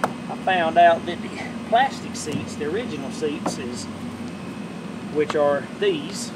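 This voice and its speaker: A man's voice: a little high, slow. A middle-aged man, chatting casually and rambling as he shows off upgrades to his boat in a backyard. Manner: rambling, folksy, self-correcting. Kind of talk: casual show-and-tell. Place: backyard outdoors. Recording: outdoor camera audio with loud background noise.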